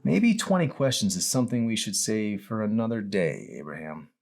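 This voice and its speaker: Man voicing earnest teenage girl, lifted pitch. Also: expressive, moderate pace, creaky, a little mellow. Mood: gentle reproach. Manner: earnest, pleading. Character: teenage girl, caring, upset and pleading.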